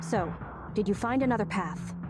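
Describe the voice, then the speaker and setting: A woman's voice: mid-range voice, animated, normal speed, lightly acted. An actress playing a stern, guarded female soldier in a video game, speaking in a clipped, formal military manner. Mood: serious. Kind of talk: video game story dialogue. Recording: loud game music behind voice. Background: loud music.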